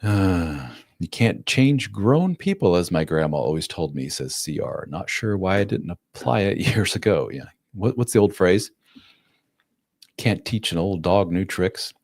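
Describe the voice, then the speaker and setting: Man, late forties, coach, live chat answers, very low voice, dry close sound. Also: animated, measured pace, darkish tone, dry recording. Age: 40s. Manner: casual, plain-spoken, acts out quotes in a raised voice. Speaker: relationship coach. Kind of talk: live-stream Q&A.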